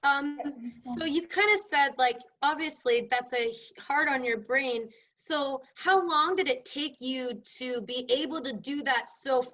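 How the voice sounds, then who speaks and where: A woman's voice: high voice, a little slow, few pauses, sharp tone. A woman casually hosting an event and asking questions in an informal, loosely phrased way, heard through dull, muffled sound. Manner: informal, hedging with kinda and like. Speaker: event host.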